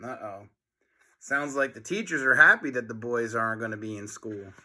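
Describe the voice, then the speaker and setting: A man reading a children's book aloud in an expressive, dramatic narration, with asides to young listeners. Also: mid-range voice, normal speed.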